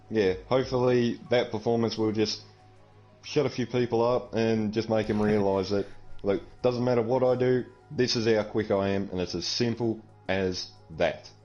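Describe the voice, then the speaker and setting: A male racer interviewed after his win, rambling earnestly in his own defense. His voice sits low and mellow, heard over a dull call line with faint music. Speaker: winning driver, interviewee. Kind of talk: post-race interview.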